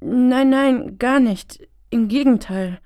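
Actress as earnest moralizing woman, creaky, dry sound. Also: a little high, slow pace, mellow, no room sound. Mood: uneasy. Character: earnest, moralizing progressive female panel member, indignant. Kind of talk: satirical radio play dialogue.

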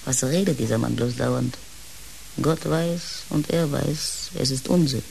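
A woman's voice: extremely low pitch, unhurried, gravelly, darkish tone, a little hiss or hum, exaggerated voice, rough recording. An actress in a German radio play playing an older, pious housekeeper, blunt, motherly and needling in a dry, folksy way. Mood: stubborn, dry. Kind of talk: German radio drama acting.

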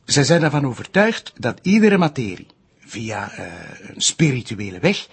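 A Flemish actor playing an erudite, patient detective in a TV drama, explaining hesitantly in a husky, gravelly voice. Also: male voice, medium pitch, moderate pace. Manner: hesitant, didactic, animated. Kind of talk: naturalistic TV crime drama acting.

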